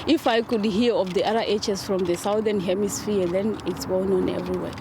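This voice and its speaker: A woman's voice: medium pitch, fairly even intonation, fairly quick, background noise. A woman from Zimbabwe, a horticulture student, speaking earnestly about her training.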